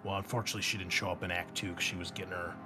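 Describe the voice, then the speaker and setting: Slightly low-voiced man hosting a game debrief. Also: level tone, rapid-fire, music in the background. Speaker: game master and host. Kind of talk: casual chat, post-game debrief.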